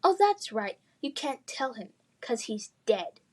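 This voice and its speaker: A woman's voice: fairly high pitch, big pitch swings, measured pace. A woman voicing a cruel, mocking bully boy in a children's fantasy storybook. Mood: sneering, taunting. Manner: mocking, taunting.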